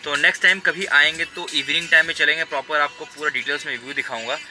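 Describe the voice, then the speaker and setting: A man's voice: a little high, fairly even intonation, fast talker, over music, low-quality recording. Chatty male travel vlogger in a car. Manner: chatty, addresses viewers directly. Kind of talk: casual travel vlog. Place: inside a car.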